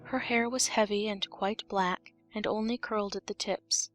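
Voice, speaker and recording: A woman's voice: mid-range voice, steady tone, normal speed, fairly bright tone, soft background music. A woman reading scripted storybook narration as an audiobook narrator.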